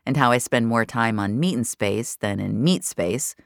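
Very deep-voiced woman narrating, dry studio sound. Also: normal speed, creaky, dry recording. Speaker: narrator of an audio fiction series. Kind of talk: first-person scripted dramatic narration.

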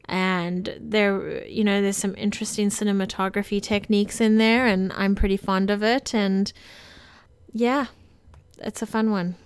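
A woman's voice: medium pitch, fairly even intonation, a little slow, clean tone, dry recording. A woman co-hosting a film podcast, talking casually and informally with conversational asides. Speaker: podcast co-host.

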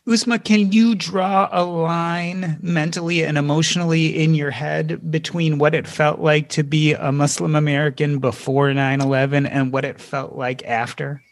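A man interviewing guests and asking questions in a measured, thoughtful way. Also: on the high side, level tone. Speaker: podcast interviewer.

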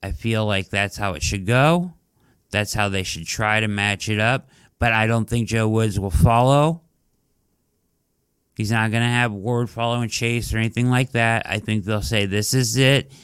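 A man's voice: fairly low pitch, normal speed, a bit bright, clean tone, no echo. A man hosting a casual sports podcast who is losing his voice, talking through his analysis with lots of "like" and "right?". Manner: conversational, fillers like "like" and "right?". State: losing his voice, dry throat. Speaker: podcast host.

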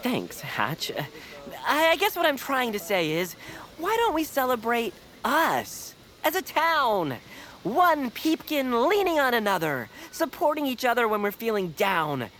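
Earnest, wildly expressive nervous optimist. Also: female voice, fairly high pitch, slight background noise. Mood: earnest, halting. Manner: hesitant, halting, sincere. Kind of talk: audio fiction acting.

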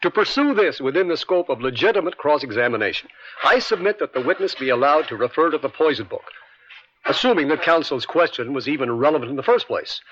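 A man acting a brisk, methodical prosecuting attorney in a radio courtroom drama, with precise, formal, controlling questioning. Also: fairly high pitch, big pitch swings, a bit bright, a little hiss or hum. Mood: firm, objecting. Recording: dull vintage radio broadcast sound.

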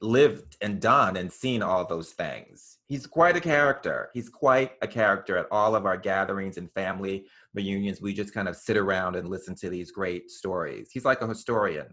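Male podcast co-host, lowish voice, relaxed casual chat. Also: moderate pace, hardly any pauses.

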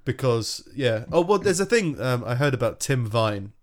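A man's voice: medium pitch, very animated, normal speed. Rambling British man chatting casually. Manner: rambling, thinks aloud with many restarts. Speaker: podcast co-host. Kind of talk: casual chat.

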